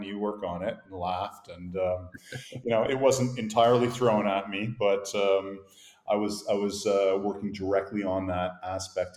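A middle-aged man with a Canadian accent, a company founder telling his career story in measured, articulate sentences. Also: fairly low pitch, a little mellow. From grew up in Montreal, born in Boston. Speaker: guest, company founder and CEO. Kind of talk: interview conversation. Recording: echoey room.

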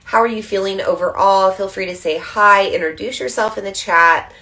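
A woman hosting a live online moon circle, slightly stuffy from allergies, chatting casually and gently guiding breathing. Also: mid-range voice, normal speed. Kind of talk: casual livestream with guided breathing. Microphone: livestream camera mic.

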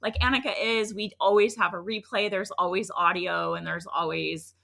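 A woman podcast host with a bright, forward voice that crackles with fry. She sounds a little scratchy and under the weather, yet talks in a chatty, effusive, emphatic style. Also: medium pitch, steady tone, moderate pace. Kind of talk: upbeat conversational podcast intro and interview.

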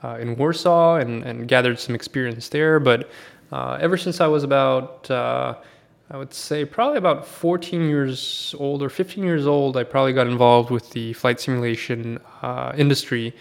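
A man's voice: mid-range voice, fairly even intonation, normal speed, gravelly. A man raised in Sweden, a podcast guest talking casually and at length, with many fillers and restarts.

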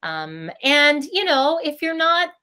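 A woman's voice: high-pitched, sing-song, slow, pushed and bright. A woman speaking as a podcast guest in an effusive, rambling, casual way with giggly asides. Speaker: podcast guest, princess party company owner. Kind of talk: casual video-call interview chat.

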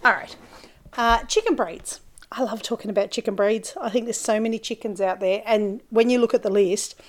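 An Australian woman hosting her farming podcast, chatting casually in a hoarse, gravelly voice, rambling a little with lots of fillers. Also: medium pitch, normal speed. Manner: chatty and rambling, with many fillers and self-corrections. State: hoarse, gravelly from a chest infection. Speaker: podcast host and farmer. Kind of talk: casual solo podcast chat.

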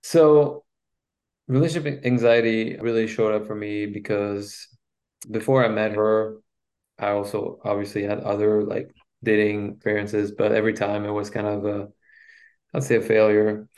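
A man from Belgium whose first language is French, speaking English with a Belgian French accent, recounting his past as a podcast guest in a halting way with frequent restarts. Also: on the low side, level tone, a little mellow, low-quality recording. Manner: halting, with many restarts and fillers. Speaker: guest, relationship coach. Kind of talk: personal story in a podcast interview.

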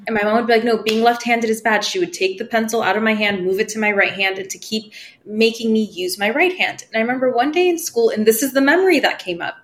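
Expressive thirties woman. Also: a little high, fast talker. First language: Farsi. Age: 30s. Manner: expressive, acts out quoted voices, laughs easily. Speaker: podcast guest, spiritual medium. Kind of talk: casual interview storytelling.

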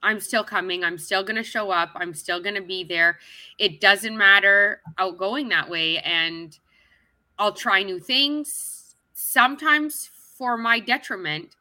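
A woman's voice: medium pitch, measured pace, sharp tone, no room sound. A woman from Canada, talking as an interview guest in a chatty, upbeat way. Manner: chatty and upbeat, with frequent restarts and laughs. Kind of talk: casual interview chat.

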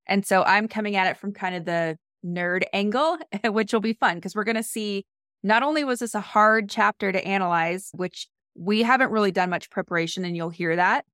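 A woman's voice: medium pitch, normal speed, a bit bright, no room sound, clean studio sound. A woman book coach guesting on a podcast, chatty and eager. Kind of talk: casual podcast chat.

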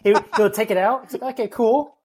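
A man with a husky voice chatting easily on a podcast as a guest, telling jokey family anecdotes. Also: high voice, fairly quick, darkish tone. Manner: easygoing, jokey. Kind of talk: casual chat.

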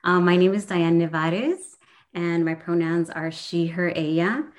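A woman's voice: on the low side, fairly even intonation, a little slow. A woman from Southern California, a professor and guest, talking casually and earnestly with frequent ums. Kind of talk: casual conversation.

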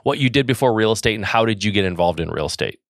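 A man's voice: fairly low pitch, animated, very fast, no echo, clean studio sound. A middle-aged man from Michigan hosting a podcast interview in an upbeat, chatty way with many fillers. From Michigan, USA. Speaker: podcast host. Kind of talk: casual interview.

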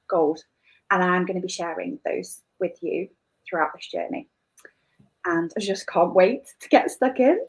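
A woman who teaches wellness, giving an earnest and enthusiastic welcome talk in a deliberate way. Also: on the low side, poor audio.

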